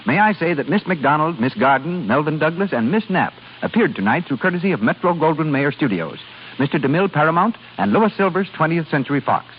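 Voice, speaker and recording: A man's voice: fairly high pitch, lively intonation, brisk. A man reading formal, scripted announcements as a 1930s radio announcer. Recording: noisy, dull 1930s radio broadcast.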